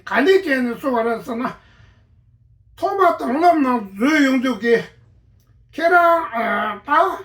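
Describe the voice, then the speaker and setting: A woman giving a halting spoken account, with many pauses and restarts and a voice that sometimes breaks with emotion. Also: on the high side, a bit bright. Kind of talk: spontaneous personal account. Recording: echoey room, voice from a distance.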